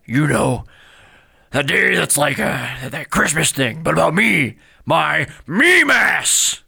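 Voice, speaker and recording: A man voicing a crude, pompous little pig demon with a raspy, gravelly voice in a comedy podcast, often shouting. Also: fairly high pitch, sing-song, slow pace, full character voice. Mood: bombastic, boastful. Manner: pompous, shouting, foul-mouthed. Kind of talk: comedy podcast character acting.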